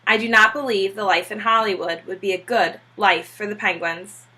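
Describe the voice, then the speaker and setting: Woman reading as an earnest, husky, modest family man. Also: mid-range voice, normal speed, sharp tone, poor audio. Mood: earnest, resigned.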